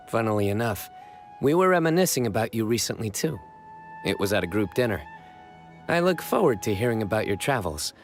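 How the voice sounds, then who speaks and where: Low, deadpan young investigator. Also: male voice, soft background music. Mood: calm, friendly. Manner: measured, deadpan, formal. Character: composed young male investigator, deadpan and proud of his puns. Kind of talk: video game voice acting.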